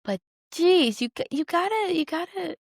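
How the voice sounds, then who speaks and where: A woman's voice: very high voice, lively intonation, moderate pace, creaky, no echo, clean studio sound. A woman co-hosting a podcast in casual chat, conversational with emphatic, slangy reactions. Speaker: podcast co-host. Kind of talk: casual chat about a TV show.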